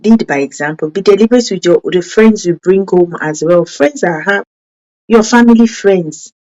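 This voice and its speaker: A woman's voice: moderate pace, darkish tone, muffled recording. Earnest woman, Yoruba-speaking. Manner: earnest, self-correcting, with sudden rises in pitch for emphasis. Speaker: parenting channel host.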